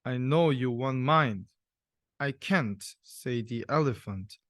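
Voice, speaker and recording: A man's voice: medium pitch, takes it slowly, fairly bright tone, no echo, studio quality. A young man, a student, reading a rhyming children's story aloud. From South Korea. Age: young adult. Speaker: university student reader.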